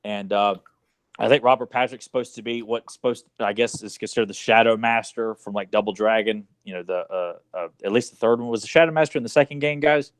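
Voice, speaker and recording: A man's voice: fairly low pitch, quick pace. A man co-hosting a podcast, talking casually about movies in a rambling way full of asides. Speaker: podcast co-host.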